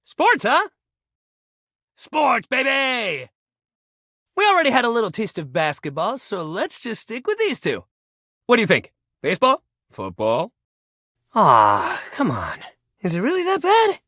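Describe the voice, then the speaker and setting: A man's voice: extremely high pitch, wildly swooping pitch, measured pace, pauses often, bright, forceful tone, no echo, studio quality, exaggerated voice. An actor playing an upbeat, friendly but sensitive young male sports jock in a video game, talking in casual, emphatic bro speak. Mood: excited, then hurt, pleading. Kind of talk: video game character dialogue.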